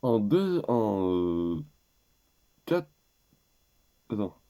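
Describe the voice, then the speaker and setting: A teenage boy with a low, soft-edged voice, audibly stuffed up with a cold. He talks in a rambling, hesitant way, often stopping and starting over mid-sentence. The delivery is loose and casual. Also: big pitch swings, drawn-out words. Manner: rambling and hesitant, with many restarts. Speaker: YouTuber. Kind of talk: casual gift-list vlog.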